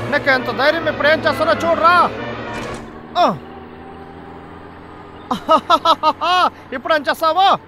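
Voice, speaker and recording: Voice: high-pitched, takes it slowly, long pauses, music in the background. An actor voicing a grumpy, blustering male lumberjack in a kids' cartoon, scolding and exclaiming. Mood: angry. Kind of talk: dubbed kids' cartoon acting.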